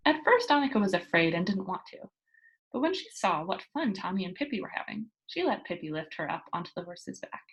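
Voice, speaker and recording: A woman reads a children's book aloud. Her delivery is animated and expressive, with wide movement in pitch. There is a husky, slightly rough grain in her voice. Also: brisk, rough recording. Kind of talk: expressive read-aloud storytelling.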